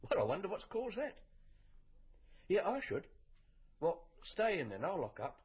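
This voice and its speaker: A man's voice: high-pitched, huge pitch swings, normal speed, husky, exaggerated voice. An actor playing a patient, homely, working-class male bakery shopkeeper chatting colloquially in a naturalistic radio drama. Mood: concerned, gossipy. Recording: dull lo-fi radio recording.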